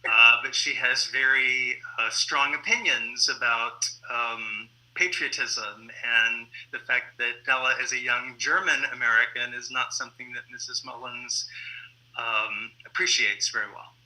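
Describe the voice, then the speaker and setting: A man talking as a playwright in an interview, explaining his work haltingly with pauses mid-sentence. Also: medium pitch, fairly even intonation, bright, forceful tone, poor audio. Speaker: playwright who adapts stage plays.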